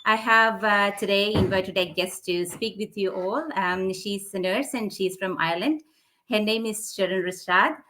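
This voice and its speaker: Casting: woman live-stream host, bright and forward tone, casual, hesitant delivery with fillers. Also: medium pitch, moderate pace. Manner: friendly, hesitant, with many fillers. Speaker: host of a live online interview.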